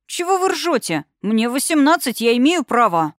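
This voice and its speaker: A woman's voice: sits high, sing-song, moderate pace, dry recording, studio quality, voice pushed up. A woman voicing a cocky, earnest eighteen-year-old male shifter with an indignant, boastful manner in a fantasy audiobook.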